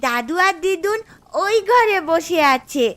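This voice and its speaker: A sweet, eager little girl in a cartoon, played by a woman, with a high voice that leaps around in pitch. She calls out pleadingly. The sound is dry and close. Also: sing-song, takes it slowly, a bit bright, dry recording, exaggerated voice. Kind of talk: cartoon voice acting.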